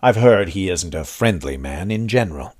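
A wry, plain-spoken army captain, voiced by a male narrator, with a low, husky tone. He speaks in a measured, dry manner, and the recording is dry and close. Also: expressive, darkish tone, no room sound. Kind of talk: audiobook character voice.

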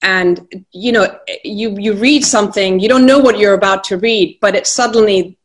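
A woman's voice: mid-range voice, moderate pace. A woman moderating a panel discussion, talking with many hesitant restarts.

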